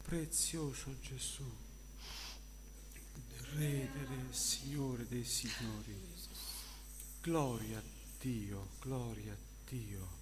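An unhurried older man leads a church service as pastor. He offers short, devout exclamations of praise and prayer at a medium pitch. His voice rings in an echoey hall, with a congregation audible around him. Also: steady tone, crowd noise. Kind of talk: worship leading with repeated praise and prayer. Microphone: gooseneck pulpit microphone.

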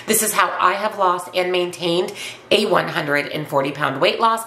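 A woman's voice: on the low side, moderate pace, pushed and bright, roomy sound, a little hiss or hum, rough recording. Congested woman vlogging with a cold. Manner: chatty and upbeat, repeats herself. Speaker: weight loss vlogger. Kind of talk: casual vlog to camera. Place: home office room.

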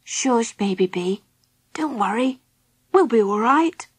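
A plucky young boy from a children's storybook, played by a woman, speaks in a raised, high voice. He is earnest and protective, keeping up a brave front. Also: big pitch swings, measured pace, slightly rough, no room sound, studio quality, exaggerated voice. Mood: reassuring. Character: brave young boy hero, reassuring though scared.

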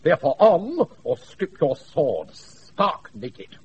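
Blustering British old knight, wildly varied pitch. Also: male voice, huge pitch swings, lo-fi sound, full character voice. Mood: mock-menacing. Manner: blustering, grandiose, drawn-out mock-menacing delivery. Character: boisterous scheming old knight, mischievous drunken uncle. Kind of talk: classical radio play acting. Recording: noisy off-air radio recording.

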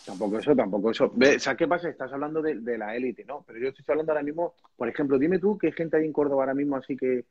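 A man's voice: mid-range voice, very fast, few pauses, darkish tone, husky. A man, co-hosting a casual live stream from home and teasing his friend in a mock-serious way while reading viewer comments aloud. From Madrid, Spain. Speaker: percussionist, live stream co-host. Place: indoors, small room at home. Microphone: phone or laptop camera, video call. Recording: slightly dull, lo-fi stream audio.

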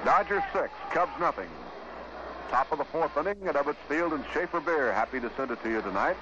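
A man's voice: a little high, lively intonation, lo-fi sound. Vintage 1950s baseball radio announcer, male, noisy. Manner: measured, clipped phrases with pauses.

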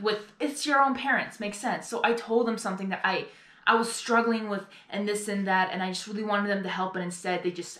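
A young adult woman giving casual, rambling reaction commentary in an earnest, halting, apologetic way. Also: mid-range voice, a bit bright. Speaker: reaction video host. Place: small bedroom, indoors. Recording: echoey room, music behind.

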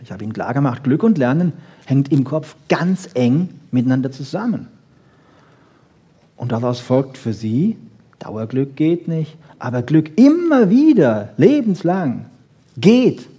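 A man giving a popular science lecture with wry humour, rhetorical questions and short checking tags, in a husky voice. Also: mid-range voice, big pitch swings, a little slow, warm tone, muffled recording. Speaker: lecturer on brain research.

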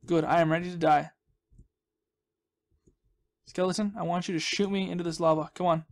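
A man's voice: high voice, fairly even intonation, normal speed. A young man giving casual, rambling commentary as he hosts a gaming video, with a goofy, self-deprecating, mock-dramatic manner.

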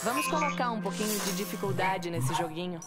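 Lieutenant role for a woman: insistent, sarcastic authority, mid-range voice, sci-fi audio drama, loud score behind. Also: mid-range voice, animated, brisk, close to an everyday voice. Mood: commanding, sarcastic. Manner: insistent, commanding, needling. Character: assertive, sarcastic female space lieutenant. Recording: slightly distant, under loud music.

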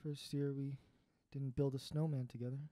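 A performer playing a gentle, sentimental, pleading old friend in an audio drama, earnest and hesitant. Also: medium pitch, level tone, moderate pace, no echo, natural voice. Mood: nostalgic. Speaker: voice actor in student audio drama. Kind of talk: naturalistic audio drama dialogue.

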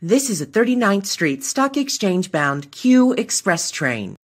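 A woman reading scripted subway announcements in a formal, measured way, in segmented phrases. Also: medium pitch, sing-song, breathy edge.

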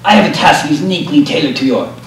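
A man's voice: a little high, animated, fast, natural voice. Young actor as scheming godfather, far-off stage. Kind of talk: amateur stage comedy acting. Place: school auditorium stage, filmed from audience. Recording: roomy far-off stage recording, audience noise.

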